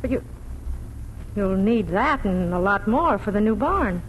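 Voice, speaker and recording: An actress in a 1940s radio drama playing a gentle, practical farm wife and mother, earnest and soothing. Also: mid-range voice, expressive, unhurried, natural voice. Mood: tender concern. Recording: noisy vintage 1940s radio recording.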